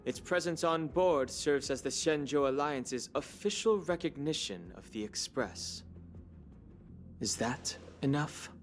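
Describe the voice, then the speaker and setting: An actor playing a reserved young guardian in a video game, asking short, measured questions. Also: male voice, on the high side, lively intonation. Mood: guarded. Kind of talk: video game cutscene acting. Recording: game audio with background music.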